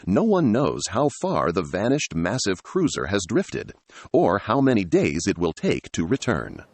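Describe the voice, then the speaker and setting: A man's voice: fairly low pitch, wildly swooping pitch, unhurried, husky, no echo. A man reading scripted dramatic narration as a story narrator.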